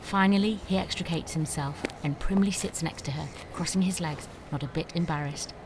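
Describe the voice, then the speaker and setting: A woman's voice: deep voice, moderate pace, heavy background noise. A woman reading scripted screenplay stage directions as the narrator of an audio drama.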